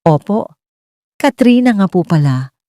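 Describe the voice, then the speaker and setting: A woman's voice: mid-range voice, sing-song, unhurried, mellow, dry recording, studio quality, voice pushed up. A woman voicing a shy, polite young widowed female sales clerk in a romance audio story, answering briefly and deferentially.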